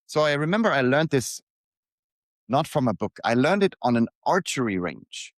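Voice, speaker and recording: A man reading his own reflective motivational piece aloud in deliberate short phrases, leaning on repetition for emphasis. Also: mid-range voice, sing-song, no echo, studio quality.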